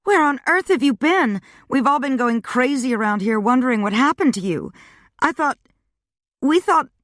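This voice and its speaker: Woman doing a high bubbly young woman, gushing questions, dry close sound. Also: expressive, fairly bright tone, dry recording. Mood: shocked. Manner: rapid-fire gushing questions. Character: excitable young woman friend, chatty and worried.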